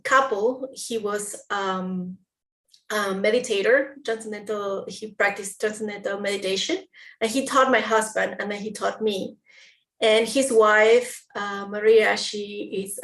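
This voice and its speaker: Peruvian woman with distant, roomy audio. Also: mid-range voice. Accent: Peruvian Spanish. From Peru. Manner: halting, searching for words. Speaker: guest. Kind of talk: casual interview.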